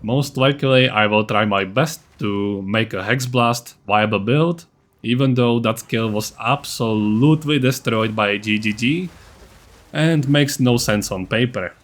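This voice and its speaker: A man's voice: mid-range voice, a little slow. A man giving a scripted, matter-of-fact game guide narration, with a blunt, self-deprecating streak. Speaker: gaming video creator.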